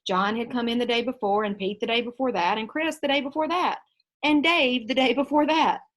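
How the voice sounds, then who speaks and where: A woman reading a children's story aloud in an expressive, teacherly storytelling style. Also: on the high side, fairly quick.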